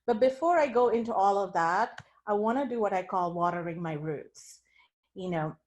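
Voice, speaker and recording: A woman's voice: mid-range voice, moderate pace. A woman from India giving an academic keynote talk over a video call, speaking in an earnest, reflective way. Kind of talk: academic talk sharing her screen.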